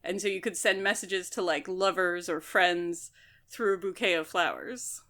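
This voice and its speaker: A woman's voice: fairly low pitch, moderate pace, fairly bright tone. A woman podcast host casually discussing a book, explaining things with mock quotes as she goes.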